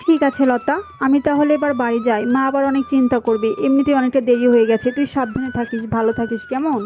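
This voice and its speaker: Woman as a high, soft-toned plaintive village girl. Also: fairly even intonation, quick pace, dull audio, soft background music, natural voice. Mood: resigned. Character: poor teenage village girl, meek, dutiful, resigned. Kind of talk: Bengali cartoon voice acting.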